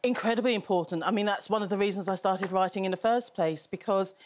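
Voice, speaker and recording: A woman's voice: medium pitch, fast talker, a little hiss or hum. A middle-aged woman author, a guest on a TV talk show, talking thoughtfully and earnestly and laughing easily. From England. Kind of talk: casual chat. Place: TV studio.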